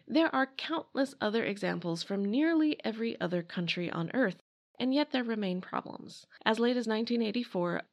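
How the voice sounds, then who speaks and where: A woman's voice: mid-range voice, vocal fry. A middle-aged American woman hosting a podcast, reading scripted history narration. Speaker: podcast host.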